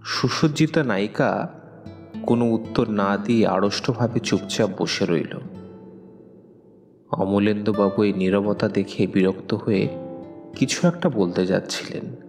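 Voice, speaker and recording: A man narrating a scripted audio story in a measured, literary, suspenseful way. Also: lowish voice, darkish tone. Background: music with plucked guitar.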